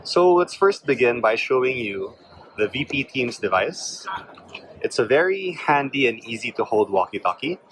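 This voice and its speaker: A man's voice: medium pitch, fairly bright tone, slight background noise, rough recording. A man presenting a product demonstration in an explanatory way.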